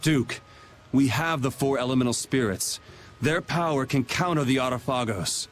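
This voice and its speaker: A man's voice: medium pitch, normal speed, slight background noise, natural voice. A man playing an earnest, resolute young swordsman leading his party in a video game. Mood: firm, pleading. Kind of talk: English video game voice acting.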